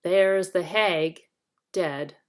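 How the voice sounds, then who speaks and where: A composed boy leader in a storybook, read by a woman. He is courteous and matter-of-fact. He talks slowly, at an average pitch.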